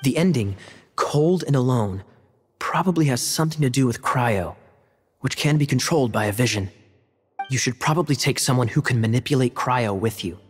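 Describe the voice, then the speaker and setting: Actor as a charming, wry young knight captain; easy teasing delivery, faint game music behind. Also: male voice, medium pitch, lively intonation, moderate pace, natural voice. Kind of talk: game dialogue.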